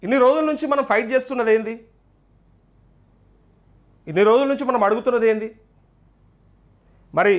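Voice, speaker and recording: Male TV journalist, Telangana Telugu accent, high voice, rapid delivery, insistent questioning, live news commentary. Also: fairly bright tone. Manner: insistent, questioning, repeats points rhetorically. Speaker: journalist and TV host.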